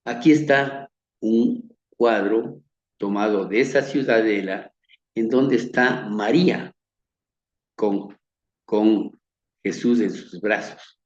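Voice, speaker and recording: A man from Ecuador with a Quito accent gives a measured, earnest Bible lecture over pictures, often repeating words and letting his phrases fade softly. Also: fairly low pitch, reverberant, muffled recording. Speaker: Bible teacher. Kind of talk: slow sermon-like slideshow lecture.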